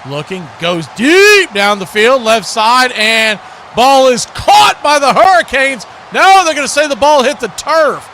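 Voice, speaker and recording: A man's voice: high voice, bright, forceful tone. An American man calling live football play-by-play in short clipped phrases, repeating words as the action unfolds. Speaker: play-by-play sports commentator. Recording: noisy live stream with background noise.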